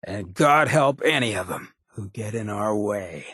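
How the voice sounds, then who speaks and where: An actor playing a roguish, irreverent ghoul in a video game, with a raspy American voice and a wry, cocky, streetwise drawl. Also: male voice, fairly low pitch, animated, a little slow, fairly bright tone, no echo, clean studio sound, full character voice. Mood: warm, teasing. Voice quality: raspy, gravelly. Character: roguish drug-loving irradiated ghoul, swaggering, irreverent, loyal. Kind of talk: video game companion dialogue.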